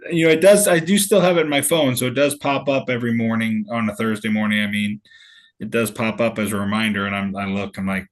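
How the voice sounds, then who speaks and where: Chatty laughing St. man host. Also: on the low side. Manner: chatty, laughs often, loose rambling restarts. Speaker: meetup host. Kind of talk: casual group video call chat.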